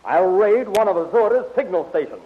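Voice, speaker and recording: An actor playing a bold young adventurer hero in a 1930s radio serial, speaking in an earnest, commanding, declamatory way. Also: male voice, high-pitched, expressive, a little fast. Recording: dull, hissy old radio recording.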